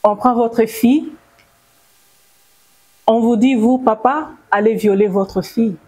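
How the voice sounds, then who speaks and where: A middle-aged woman with a Congolese accent giving grave, emphatic testimony to a gathering, with halting phrasing. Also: fairly high pitch, dark tone, slightly rough. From Bukavu, South Kivu, DR Congo. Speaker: testifying survivor and audience speaker.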